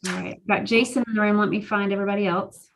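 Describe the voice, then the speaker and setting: A woman moderating an online public meeting, briskly bringing speakers into the call. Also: medium pitch, fairly even intonation, vocal fry. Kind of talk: procedural meeting talk. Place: remote video call.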